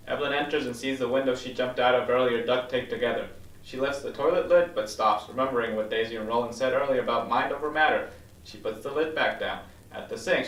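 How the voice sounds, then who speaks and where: A man's voice: medium pitch, fairly bright tone. A man reading screenplay stage directions aloud as scripted narration at a table read. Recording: roomy echo, distant microphone.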